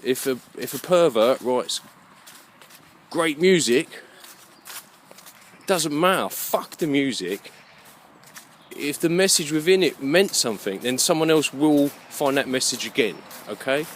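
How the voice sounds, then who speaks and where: A man giving a rambling, unscripted monologue in a halting, musing way, often repeating his phrases. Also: on the high side, animated, a little hiss or hum. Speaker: vlogger.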